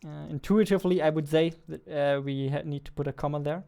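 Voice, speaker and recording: A man in his twenties, a non-native English speaker, thinking aloud in casual, unhurried live coding commentary. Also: on the high side, steady tone, creaky, warm tone. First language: not English. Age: 20s. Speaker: indie game developer, streamer. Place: small indoor room at a computer.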